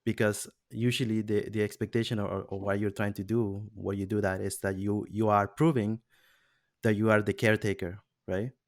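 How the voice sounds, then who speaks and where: A man's voice: on the low side, flat delivery, moderate pace, no room sound, studio quality. A man from Peru hosting a casual podcast discussion, thoughtful and hesitant, with frequent restarts. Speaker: podcast host.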